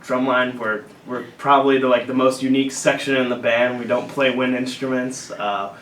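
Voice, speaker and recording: A young man in his early twenties from Illinois, answering interview questions in a measured, steady way. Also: mid-range voice, reverberant, recorded from a distance, a little hiss or hum, low-quality recording. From Illinois, USA. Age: early 20s. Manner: measured, steady, some fillers. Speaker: student guest.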